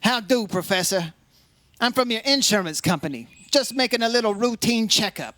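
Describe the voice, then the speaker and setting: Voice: medium pitch, lively intonation, normal speed, a bit bright, no room sound, natural voice. An actor playing an unflappable insurance investigator, narrating a case in old radio detective style, wry and matter-of-fact. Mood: businesslike. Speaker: narrator and lead character.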